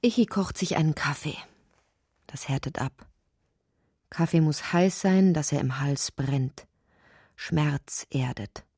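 An actress narrating a strange, inward literary radio drama in deliberate, short emphatic phrases. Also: female voice, low-pitched, dark tone, husky, dry recording, clean studio sound, exaggerated voice. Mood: intense, absurd. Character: woman narrator of a strange inward dreamlike tale.

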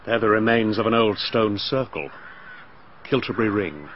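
A man plays a menacing killer in a radio play, his voice low and hushed as he leads a young girl through a sacrifice. He speaks with slow, solemn calm. The recording sounds dull and a little noisy, like an old broadcast. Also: close to an everyday voice. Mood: hypnotic, solemn. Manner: soft, hypnotic, solemn. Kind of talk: radio drama acting.

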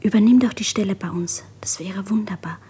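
A woman's voice: mid-range voice, a little fast, mellow. An actress in a 1950s radio drama playing a kind, eager schoolgirl friend with a whispery voice. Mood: warm, encouraging. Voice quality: whispery, very breathy.

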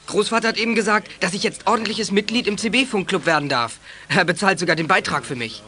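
A man's voice: sits high, a little fast, sharp tone. A male performer playing a proud, polite young boy who loves CB radio, in a children's radio play. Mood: delighted.

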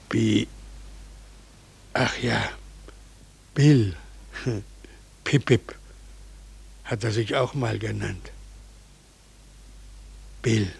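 An actor playing a world-weary, sardonic harbour official with a gravelly, husky voice in a 1940s German radio play. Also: male voice, fairly low pitch, exaggerated voice.

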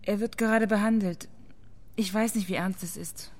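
An actress as a sceptical female journalist in a radio drama. She speaks at a medium pitch, measured and matter-of-fact, keeping a cool head as she questions and reports. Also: steady tone, a little mellow, lightly acted. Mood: subdued, reporting.

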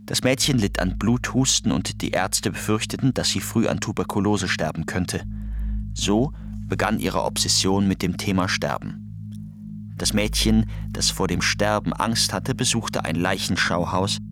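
A man's voice: low voice, measured pace. A man narrating a scripted documentary piece. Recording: music under the voice. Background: music.

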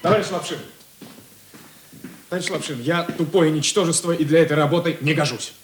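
A man's voice: fairly high pitch, fairly quick, lightly acted. An actor playing an earnest young rookie policeman in a radio play, hesitant and plaintive. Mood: aggrieved. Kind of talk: Russian radio drama acting. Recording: echoey room.

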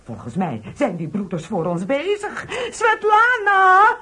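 A pious, scolding old wife in a radio drama. She exclaims slowly and devoutly with big swoops in pitch, on a faintly noisy old recording. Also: huge pitch swings, bright, forceful tone, exaggerated voice. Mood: joyful, amazed. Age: older.

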